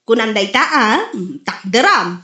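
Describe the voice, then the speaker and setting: Woman playing flippant gossipy friend, very high voice, swooping intonation, Ilocano Filipino accent, comedy drama. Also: lively intonation, unhurried, sharp tone, roomy sound. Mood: curious, teasing. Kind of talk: comedy radio drama acting.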